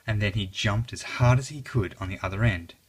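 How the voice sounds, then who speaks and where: A reader narrating a children's story aloud in an expressive, dramatic way. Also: deep voice, brisk, husky.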